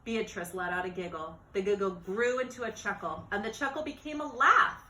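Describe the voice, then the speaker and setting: A woman reading a children's storybook aloud in an expressive, animated narrating style. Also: medium pitch, brisk, a little hiss or hum. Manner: expressive, acts out dialogue and exclamations. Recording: roomy, echoey sound.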